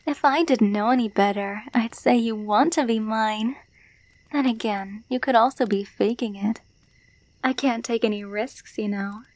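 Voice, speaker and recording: A woman's voice: a little high, dry recording, lightly acted, low-quality recording. A woman playing a possessive alpha werewolf in an ASMR roleplay, taunting and condescending as she coos pet names. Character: dominant, possessive female alpha werewolf, teasing and menacing.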